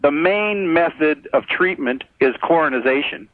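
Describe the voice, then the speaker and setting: A fairly high-voiced American man speaks as a caller on a radio show. He lays out his case point by point in a measured, conversational manner. The line is narrow and muffled, like a telephone, with no room sound. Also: lively intonation, dry recording. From United States. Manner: measured, methodical, explanatory. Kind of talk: conversational debate.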